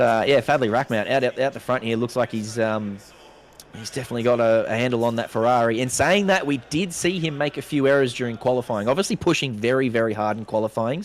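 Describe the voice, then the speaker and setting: A man giving casual, slangy live race commentary, his voice a little off from being sick. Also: medium pitch. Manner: casual, chatty, uses slang. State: slightly sick voice. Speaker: sim racing commentator.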